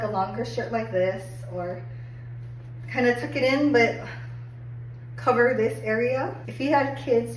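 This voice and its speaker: A woman getting over a cold and a little hoarse, chatting upbeat through a clothing try-on review with lots of "like"s. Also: on the high side. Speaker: fashion haul vlogger. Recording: echoey room, distant mic, faint hum.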